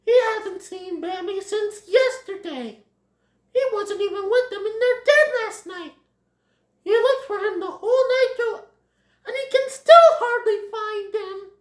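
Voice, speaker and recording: A woman voicing an anxious, earnest young female rabbit in a storybook tale, shrill and urgent. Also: unhurried. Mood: worried. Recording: echoey room.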